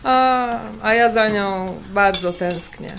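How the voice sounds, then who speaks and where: A woman's voice: mid-range voice, slow. A woman playing a wistful, prickly middle-aged artist in a naturalistic radio drama, sighing and a little defensive. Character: wistful, prickly artist, sensitive elder-daughter type. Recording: background noise of a kitchen scene. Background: kitchen clatter, pots and dishes.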